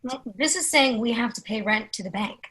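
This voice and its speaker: Foul-mouthed, intense woman, twenty-seven played by a woman, sharp husky voice, brash stage dialogue. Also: on the high side, lively intonation, brisk, exaggerated voice. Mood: irritated. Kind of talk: readers theatre play reading.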